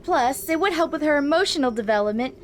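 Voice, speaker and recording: A woman voicing a perky, bossy young robot girl who teases and schemes in an animated comic dub. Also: high voice, fast, slight background noise. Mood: pushy. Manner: animated, teasing. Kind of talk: cartoonish comic dub acting. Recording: music behind the voice.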